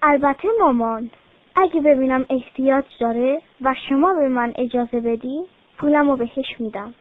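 A woman's voice: high voice, lightly acted. A woman voicing a sweet, kind little girl who pleads and speaks earnestly. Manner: eager, pleading child voice. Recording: tinny phone-like sound.

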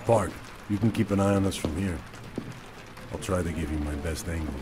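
A man playing a calm, steady covert field operative in a video game, unruffled and matter-of-fact. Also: low voice, a little fast, noisy background, natural voice. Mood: businesslike. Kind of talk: video game cutscene acting.

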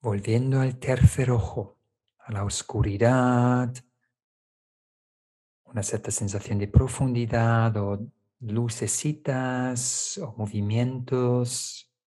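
A man teaches a guided meditation at a very unhurried pace. His voice is soft-edged and mellow, sitting at an ordinary male pitch. He gives calm, gentle instructions step by step.

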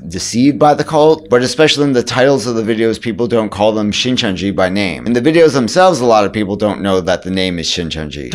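A man in his thirties with a hoarse voice, talking casually to camera as a vlogger and dropping dry, sarcastic asides. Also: lowish voice. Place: indoors in front of a brick wall.

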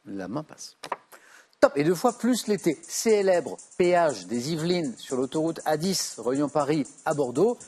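A middle-aged man hosting a TV quiz show, reading clues briskly and giving quick verdicts. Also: fairly high pitch, big pitch swings, darkish tone, slightly rough. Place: large TV studio set.

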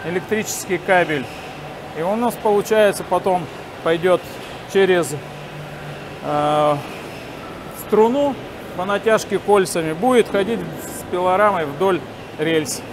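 A man in his forties giving a halting, step-by-step hands-on demonstration while he works. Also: high voice. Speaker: instructor demonstrating sawmill assembly. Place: large factory workshop hall, indoors. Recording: noisy industrial workshop ambience. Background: clear workshop noise.

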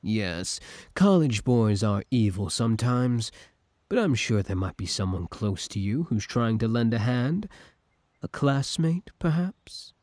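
A man's voice: medium pitch, big pitch swings, measured pace, darkish tone, no room sound, clean studio sound. A young man playing an obsessive, scheming villain who feigns kindness, in an intimate, theatrical audio roleplay. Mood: smoothly manipulative. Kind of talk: ASMR audio roleplay, intimate dramatic acting.

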